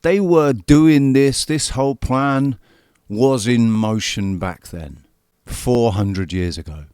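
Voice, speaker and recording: A man's voice: mid-range voice, takes it slowly, a little mellow, clear, resonant tone, dry recording, studio quality. A man in his forties giving heated, profane political commentary as the host. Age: 40s. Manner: angry, profane, repetitive emphasis. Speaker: show host commenting on a played clip.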